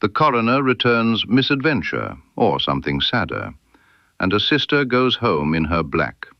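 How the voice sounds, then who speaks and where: A man voicing a brilliant, coolly ironic Victorian gentleman detective in an audiobook, precise and incisive. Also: lowish voice, sing-song, moderate pace, creaky, dry recording, dull audio. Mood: dry irony.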